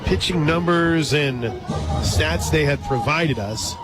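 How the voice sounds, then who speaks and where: A man calling softball play-by-play live on the radio, mixing clipped pitch calls with casual asides. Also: slow. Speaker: sports radio play-by-play announcer. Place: radio booth at an outdoor softball stadium. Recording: loud crowd and music behind. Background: loud ballpark crowd and music.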